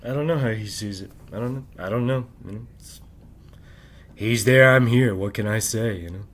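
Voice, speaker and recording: A man's voice: fairly low pitch, moderate pace, a bit bright. A middle-aged man talking alone at night, rambling and asking "right?" after nearly every thought, keeping his voice down so he won't wake his family. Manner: rambling, repeats "right?" often; keeps voice down while family sleeps. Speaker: channel host. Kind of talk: late-night monologue to camera.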